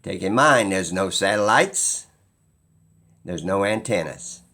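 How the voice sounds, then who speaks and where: Slow-talking man, fairly low voice. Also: lively intonation, pushed and bright. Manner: halting, pausing mid-sentence, musing. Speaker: video host. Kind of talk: informal skeptical explainer monologue.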